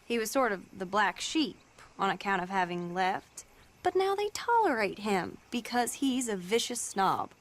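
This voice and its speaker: An actress playing a spirited, idealistic young Southern belle in a period video game, with an American Southern accent and a genteel, chatty, wry manner. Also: female voice, medium pitch, big pitch swings, moderate pace. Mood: wry, confiding.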